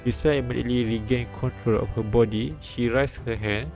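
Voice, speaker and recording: A man reading a visual novel aloud on a live stream, with halting restarts and bits of commentary. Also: mid-range voice, fairly even intonation, vocal fry, darkish tone. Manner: halting, repeats and restarts lines. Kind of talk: live read-aloud translation and narration with commentary. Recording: background music behind the voice.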